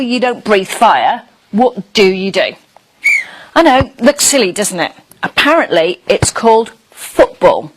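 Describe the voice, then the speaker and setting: A woman plays a friendly young dragon telling a storybook tale. The delivery is wide-eyed and very animated, in a mid-pitched voice that swings up and down and stretches out key words. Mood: enthusiastic. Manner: expressive, wide-eyed storytelling with drawn-out emphasis.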